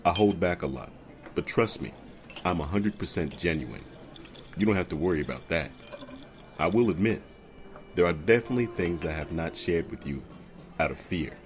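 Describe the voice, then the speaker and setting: An actor playing a well-spoken, reassuring man who keeps things back from his date, measured and earnest, in an audio drama. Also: deep voice, animated, slight background noise. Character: smooth, evasive, reassuring romantic suitor with a secret.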